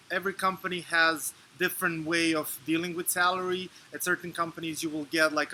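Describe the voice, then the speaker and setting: A man from Serbia in his thirties, answering interview questions in a measured, explanatory way with fillers and restarts. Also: fairly high pitch, bright, forceful tone, slight background noise, low-quality recording. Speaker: interview guest.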